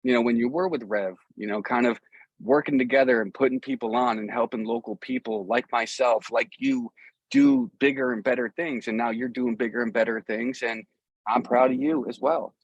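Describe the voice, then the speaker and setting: A man's voice: medium pitch, moderate pace, slightly rough, no echo, lo-fi sound. A middle-aged man chatting casually as an interview guest, telling stories in a rambling, easygoing way. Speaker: guest, musician. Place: outdoors.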